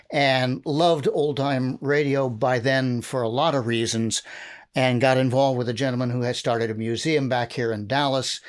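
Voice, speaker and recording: An older American man hosts a panel in a medium-pitched voice. He moderates casually, picking his phrases carefully and often hesitating. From Texas, United States.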